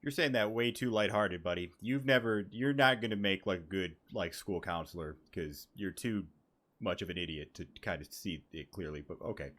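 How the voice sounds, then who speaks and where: A man's voice: low voice, moderate pace, fairly bright tone, a little hiss or hum. A man giving casual playthrough commentary as a gaming creator, slangy, sweary and irreverent.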